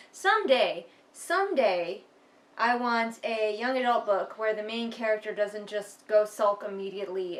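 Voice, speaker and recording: Sarcastic woman in an echoey room. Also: a little high. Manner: sarcastic, exasperated. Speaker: host of a book read-along. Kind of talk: casual mocking commentary on a book.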